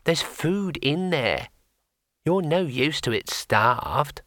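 A man's voice: a little high, animated, slow pace, a bit bright, dry recording, studio quality, pitched above the performer's own voice. A man voicing a small, patient picture imp.

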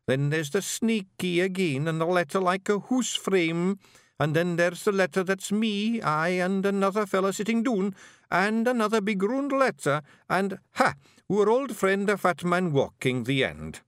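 A man voicing a small, blustering male fairy clan chief in a broad Scottish accent, gruff and boastful. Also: sits high, animated, no echo, clean studio sound, pitched above the performer's own voice. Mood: laboured reading. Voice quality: gruff, boastful, quick to bristle.

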